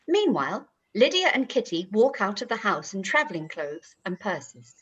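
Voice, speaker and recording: Woman narrator, expressive, slightly husky. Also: medium pitch, normal speed, lo-fi sound. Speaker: narrator reading stage directions. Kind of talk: scripted narration for a live-read play.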